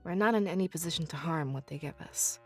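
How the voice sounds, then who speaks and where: A woman's voice: fairly low pitch, quick pace, darkish tone, faint music behind, lightly acted. A woman voicing an earnest young seer, devoted to the spirits, in a visual novel game, explaining things with assurance.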